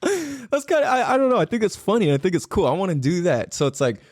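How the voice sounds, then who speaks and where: Male podcast host, fairly high voice, swears freely and repeats words for emphasis. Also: quick pace, dry recording, studio quality. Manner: excitable, laughs often. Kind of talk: casual solo podcast monologue.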